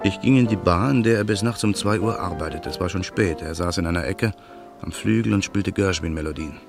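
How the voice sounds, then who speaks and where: A man's voice: sits low, fairly quick, creaky, music in the background. A man narrating and playing Daniel, a bitter man set on revenge, in an earnest, brooding radio play voice. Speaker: narrator and protagonist.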